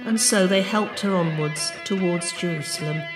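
A narrator giving a measured, solemn dramatic reading of an old religious tale. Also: medium pitch. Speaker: storyteller, narrator. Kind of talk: dramatic reading of a medieval book, storytelling. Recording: music behind the voice. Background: plucked guitar music.

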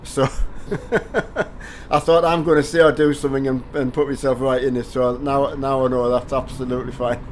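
Older man, north of England, hoarse, full of cold, chatty streamer, headset mic, faint hiss. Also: medium pitch, flat delivery, moderate pace. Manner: chatty, greets viewers by name. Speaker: game streamer. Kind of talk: casual live-stream chat. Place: home gaming room with driving rig.

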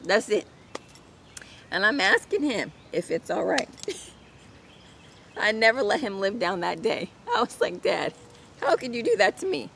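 A woman's voice: medium pitch, very animated, a little slow, pauses often, a bit bright. A middle-aged woman vlogger telling a personal story casually to a camera outdoors. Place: outdoors in a home garden. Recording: clear outdoor ambient noise.

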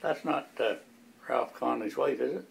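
A man's voice: mid-range voice, moderate pace, gravelly, a little hiss or hum. An older man casually recalling local people and family from the past. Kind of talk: casual reminiscing.

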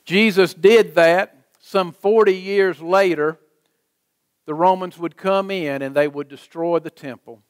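A middle-aged American man preaching a sermon as a pastor, speaking in an emphatic, deliberate manner. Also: sits high, fairly even intonation, clear, resonant tone, no echo, studio quality. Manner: emphatic, deliberate, with rhetorical questions and repetition. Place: church sanctuary, preaching from a lectern.

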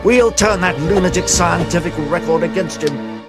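An actor playing a fussy, excitable, fatherly old professor in a cartoon-style video game, speaking with urgency. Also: high voice, normal speed. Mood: agitated, urgent. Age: older. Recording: loud music behind the voice.

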